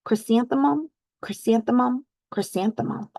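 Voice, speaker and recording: A woman's voice: on the high side, normal speed, vocal fry, a little mellow, no room sound. Woman voicing a sensitive little storybook girl. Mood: dreamy, adoring.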